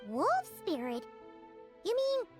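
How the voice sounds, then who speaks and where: A woman voicing an excitable little childlike fairy companion in a video game, with a squeaky voice. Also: takes it slowly, heavily acted. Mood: curious. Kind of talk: video game character acting. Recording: game music behind.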